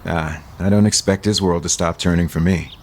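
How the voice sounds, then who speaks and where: An actor playing a gentle, earnest, considerate man in an audio soap opera. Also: low voice, steady tone, normal speed, slight background noise. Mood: gentle, gracious.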